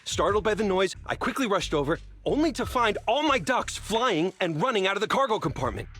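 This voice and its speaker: A man playing an indignant, accusing delivery truck driver in a video game, with a husky voice. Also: high-pitched, very animated, brisk, fairly bright tone, faint music behind, heavily acted. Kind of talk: video game character acting.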